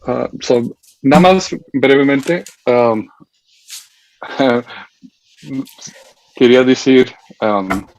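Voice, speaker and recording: A middle-aged man from the United States giving a seminar talk as a university education researcher. He speaks haltingly, with many fillers, and admits he is nervous. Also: medium pitch, expressive. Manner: halting and hesitant, full of fillers, admits to nerves. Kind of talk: seminar presentation. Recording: dull lo-fi dry sound.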